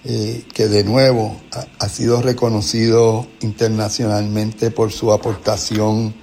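A man's voice: fairly low pitch, little pitch movement, measured pace, gravelly, darkish tone, slight background noise. A man with a Puerto Rican accent hosting a conversational interview, introducing his guest and agreeing often. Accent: Puerto Rican Spanish. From Puerto Rico. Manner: affirming, frequent backchannels. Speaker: host.

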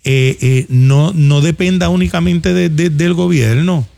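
Gravelly Puerto Rican man talking casually. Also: a little high, unhurried, dark tone. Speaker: talk show host. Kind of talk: casual conversational commentary. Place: studio.

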